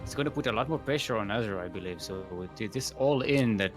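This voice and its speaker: A man calling a live video game match play by play, often halting on fillers as he tracks the action. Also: fairly low pitch, expressive, over music. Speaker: esports caster.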